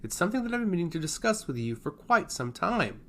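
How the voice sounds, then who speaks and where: A man's voice: sits high, sing-song, quick pace, bright, forceful tone, a little hiss or hum, pitched above the performer's own voice, exaggerated voice. A man voicing a stoic, elderly female royal advisor in a fantasy story, formal and deferential. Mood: earnest, grave.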